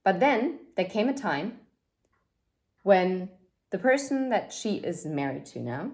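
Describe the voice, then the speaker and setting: Woman, 30s, lowish voice, unhurried and chatty, tarot reader thinking out loud. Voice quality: says she has a loud voice. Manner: chatty, thinks aloud with fillers and asides. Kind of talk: casual tarot reading monologue.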